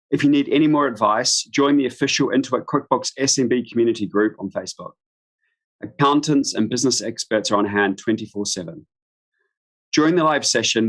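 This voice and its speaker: A middle-aged man from New Zealand giving an informal live webinar introduction as a business expert, speaking in halting, stop-start phrases. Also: medium pitch, poor audio. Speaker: guest expert, business founder. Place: room at home.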